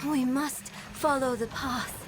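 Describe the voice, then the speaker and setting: An actress playing an awed young woman seer in a video game, hushed and often whispering in wonder. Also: high voice, steady tone, slow pace, background noise. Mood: earnest. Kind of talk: dramatic video game acting. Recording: loud game music behind.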